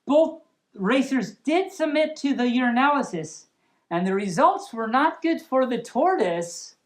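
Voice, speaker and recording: Female storyteller, fairly high and highly animated, silly and expressive, for a playful read-aloud. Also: measured pace. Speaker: storyteller, host of a storytime series. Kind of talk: storybook reading with playful ad-libbed asides.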